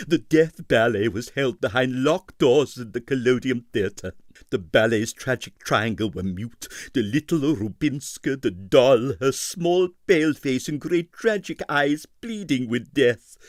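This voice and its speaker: A man's voice: medium pitch, a little slow, no echo, studio quality. A man narrating a comic novel as a scripted, dramatic audiobook reading.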